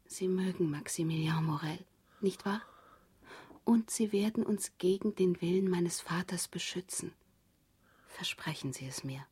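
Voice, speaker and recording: Hushed, very breathy young woman. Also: fairly low pitch, unhurried. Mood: confiding, pleading. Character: earnest young woman, devoted granddaughter in love. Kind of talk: German radio drama acting.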